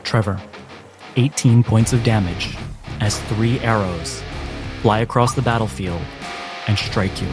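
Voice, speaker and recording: A low-pitched man narrates a tabletop adventure as game master. He speaks slowly and deliberately, with theatrical phrasing, over prominent music. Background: cinematic background music.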